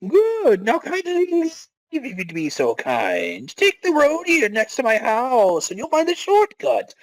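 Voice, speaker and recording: A fussy exiled doctor from a game story, voiced by a man. He is prim and evasive, pitched high with a creaky edge and jumpy, animated intonation. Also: unhurried, dry recording, heavily acted, low-quality recording. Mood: curt, shifty. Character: fussy, shady exiled male doctor, tall and skinny.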